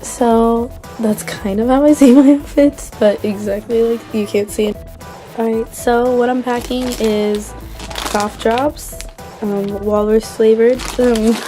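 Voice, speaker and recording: A young woman talks casually into her phone, laughing often and filling pauses with ums and likes. Her voice sits a little high and is still getting over a cold. Clear music plays behind her. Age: young adult. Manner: chatty and giggly, many ums and likes. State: recovering from a cold. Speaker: vlogger. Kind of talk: casual vlog chat. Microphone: phone camera.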